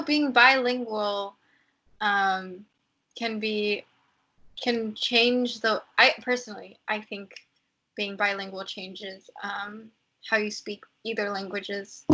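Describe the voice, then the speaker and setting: A woman's voice: medium pitch, fairly even intonation, takes it slowly, bright, forceful tone, rough recording. A young American woman chatting casually as a podcast guest, rambling with lots of fillers. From United States. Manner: chatty, lots of likes and ums. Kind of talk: casual chat.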